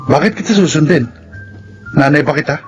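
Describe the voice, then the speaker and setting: A man's voice: fairly high pitch, a little fast, highs cut off, soft background music, close to an everyday voice. An actor playing a defiant, sullen young man with an insolent manner in a Filipino radio drama. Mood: cheeky, sullen. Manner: insolent, retorting.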